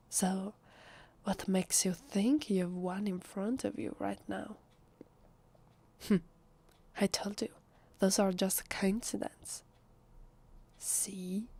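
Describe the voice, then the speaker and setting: A woman with an Italian accent playing a nervous, fragile, defensive female vampire in an ASMR roleplay, speaking softly and haltingly in a breathy, often whispery voice. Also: mid-range voice. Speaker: voice actress. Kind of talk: ASMR roleplay, soft acted monologue.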